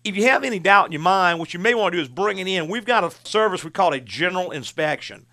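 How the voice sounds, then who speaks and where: A man's voice: sits high, lively intonation, fast, bright, forceful tone. A man, hosting a call-in car advice show in a folksy, plain-spoken way. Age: 60s. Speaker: radio show host and auto repair shop owner.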